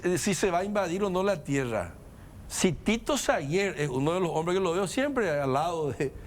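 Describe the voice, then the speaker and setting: A man with a non-native Spanish accent talks politics in a measured, lecturing way, stacking points into lists. His voice sits a little high. A faint noise runs under the recording. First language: not Spanish. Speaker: guest commentator. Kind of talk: political discussion.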